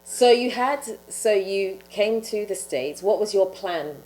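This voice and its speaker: A woman with a Ghanaian English accent hosting a casual interview, laughing often and giving quick encouraging replies. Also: medium pitch, animated, darkish tone, low-quality recording. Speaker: host.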